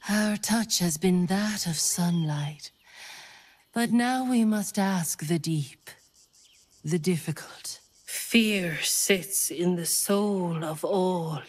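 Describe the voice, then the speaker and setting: A woman voicing a solemn, tender, mystical nature priestess in a video game, speaking in a ceremonial, poetic, often breathy manner. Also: fairly low pitch, slow. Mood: grave. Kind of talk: video game character acting.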